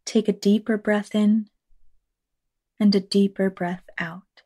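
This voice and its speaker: Slow-paced woman guiding a meditation. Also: medium pitch, little pitch movement, dark tone. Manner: measured, unhurried, with long pauses. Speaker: meditation guide, actor and teaching artist. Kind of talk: guided meditation, slow scripted narration.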